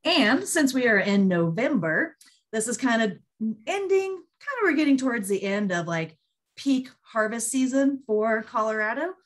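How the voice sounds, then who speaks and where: A woman's voice: fairly high pitch, big pitch swings, normal speed. A woman hosting an online group call, presenting in an informal, conversational webinar style. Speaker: host of an online monthly call.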